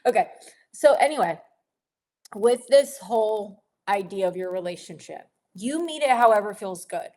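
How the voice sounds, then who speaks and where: A woman coaching a women's group on their relationship with money in a gentle, encouraging teaching talk, sniffling a little and laughing often. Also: mid-range voice, animated, normal speed.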